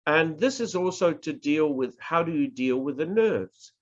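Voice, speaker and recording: A man with a New Zealand accent giving a public speaking workshop, talking in a measured, deliberate way and stressing words to demonstrate. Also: a little high, animated. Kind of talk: slow instructional presentation. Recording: dull lo-fi recording.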